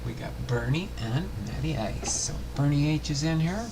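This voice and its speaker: Gravelly older man chatting on a noisy livestream. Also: a little high, animated, normal speed. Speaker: livestream host. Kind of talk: casual livestream chat.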